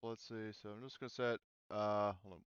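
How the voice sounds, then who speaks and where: A man with a low, clean voice acts as game master for a group. He explains things casually and swears freely. The audio is dry and a bit dull. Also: level tone, normal speed, clear, resonant tone, no echo. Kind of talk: casual group chat about a tabletop game.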